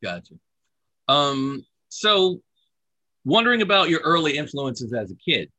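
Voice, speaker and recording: A man's voice: a little high, unhurried, dry recording. A man co-hosting a podcast, asking a guest questions in a joking, casual way. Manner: joking, lively questions with fillers. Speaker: podcast co-host.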